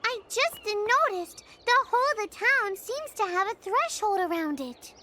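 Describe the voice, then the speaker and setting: An actress voicing a tiny, cute creature companion in a video game, squeaky, with quirky broken phrasing. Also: female voice, expressive, moderate pace, bright, forceful tone, slight background noise, heavily acted. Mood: alert. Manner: quirky, inserts "the" oddly. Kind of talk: video game cutscene acting.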